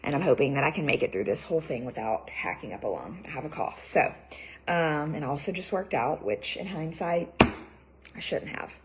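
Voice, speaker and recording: A woman's voice: low voice, expressive, a little fast, background noise. A woman nutrition coach with a cold, losing her voice, giving a chatty live training talk full of fillers. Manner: chatty, loose, many fillers and "like"s.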